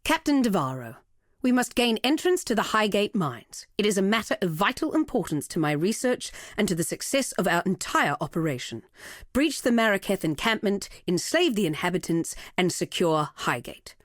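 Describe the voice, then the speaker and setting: A woman playing a cold, imperious villainess and ruthless researcher in a video game, with a haughty, commanding and deliberate delivery. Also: mid-range voice, sing-song, no echo, studio quality, close to an everyday voice. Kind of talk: scripted video game voice acting.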